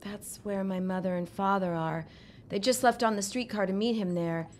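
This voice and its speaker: A woman's voice: medium pitch, normal speed, darkish tone, natural voice. An actress playing a composed, wry young upper-class woman in an audio drama, dry and guarded in manner. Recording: background noise and ambience.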